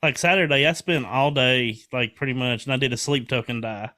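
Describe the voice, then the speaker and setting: A man's voice: medium pitch, a little fast, pushed and bright. A man in his forties having a casual, earnest podcast conversation, colloquial and prone to swearing. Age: 40s.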